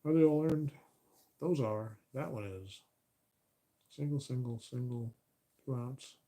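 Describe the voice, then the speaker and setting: Guy calling a board game baseball replay, mellow voice, a bit raspy, chill and counting stats out loud. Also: mid-range voice, unhurried. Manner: relaxed, self-correcting, counting stats aloud. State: voice getting a little raspy. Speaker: host replaying tabletop baseball games.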